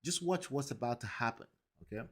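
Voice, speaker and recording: A man's voice: mid-range voice, expressive, brisk, breathy edge. A man hosting a live stream, talking emphatically to his viewers and often checking in with "okay?" and "right?". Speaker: livestream host. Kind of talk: casual commentary to a live chat.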